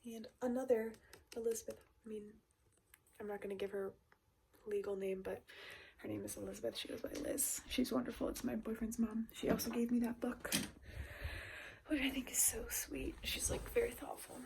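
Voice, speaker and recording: A woman in her twenties talking casually and reflectively in a soft, breathy voice that often drops into a whisper, like a personal ASMR-style vlog. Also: on the high side, fairly even intonation, moderate pace, a little hiss or hum. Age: 20s. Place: bedroom.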